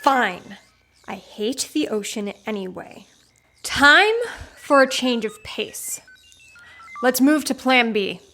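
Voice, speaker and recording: A woman's voice: fairly high pitch, slow pace, pauses often. A woman playing an exasperated, vengeful villain in an audio drama, theatrical and contemptuous. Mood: sulky, scheming. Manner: theatrical, contemptuous, prone to outbursts. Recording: music under the voice.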